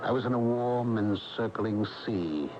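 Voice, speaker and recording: An actor playing a tormented, jealous American husband in a radio drama, with an intense, brooding delivery. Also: male voice, on the low side, unhurried, noisy background, close to an everyday voice. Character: tormented, brooding, jealous husband narrating his own story. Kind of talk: 1980 American radio drama acting and narration. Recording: dull old AM radio, loud music behind.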